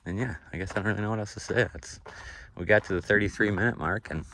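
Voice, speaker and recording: A man's voice: lowish voice, fairly even intonation, fast talker, noisy background. A young man with a nasal voice vlogging casually about his off-grid cabin, rambling with lots of fillers and restarts. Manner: rambling, laid-back, many fillers and restarts. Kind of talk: casual talk to camera. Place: outdoors at an off-grid cabin property.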